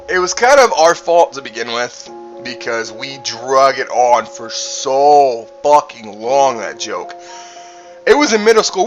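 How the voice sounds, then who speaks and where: A man's voice: fairly high pitch, fairly bright tone. A man telling personal stories in a casual, rambling, joking way, swearing freely as a streamer does. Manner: heavy swearing, frequent "you know" and "man". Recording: music playing behind the voice. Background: background music.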